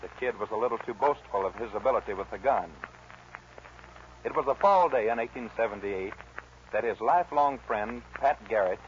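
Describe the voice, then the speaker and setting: A man's voice: fairly low pitch, brisk. A man announcing and narrating a scripted 1940s radio show. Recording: dull, noisy 1940s radio recording.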